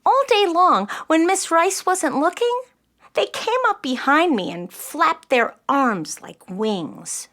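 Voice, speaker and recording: A woman's voice: high-pitched, sing-song, slow pace, fairly bright tone, full character voice. A woman playing a spirited, eager nine-year-old girl in a children's audio play, earnest and chatty as she explains things. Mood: confiding.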